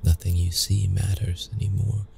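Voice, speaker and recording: A man's voice: sits low, little pitch movement, vocal fry, darkish tone, faint music behind. A man playing a young wounded vampire, secretive and brooding, in an intimate, hesitant audio roleplay style. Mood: brooding, grave. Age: young adult. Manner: halting, hesitant, stammering when flustered.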